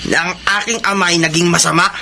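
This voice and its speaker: A very sharp, high-voiced young Filipino man, full of defiance and bitterness. He talks in accusing, sarcastic radio drama fashion. There is slight noisy ambience behind him. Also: fairly even intonation, fast talker, creaky, exaggerated voice. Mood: bitter, accusing. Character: bitter, defiant young son resenting his father.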